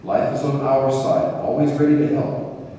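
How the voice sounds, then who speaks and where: A man playing a calm, assured spiritual teacher in an amateur radio play, speaking in measured, preacherly reassurances. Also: medium pitch, lightly acted. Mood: calm, reassuring. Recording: distant echoey hall, faint room noise.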